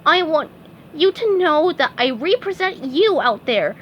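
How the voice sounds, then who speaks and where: A woman voicing an eager, excitable young male sea sponge who speechifies pompously in a storybook reading. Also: sits high, unhurried, dull audio, slight background noise, voice pushed up. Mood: pompous, zealous. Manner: pompous speechmaking, shouting with zeal. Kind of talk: storybook read-aloud.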